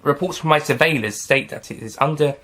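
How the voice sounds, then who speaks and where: A man voicing Kate, a brisk female military officer in a fan audio story, talking in an urgent, commanding way. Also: a little high, fairly bright tone.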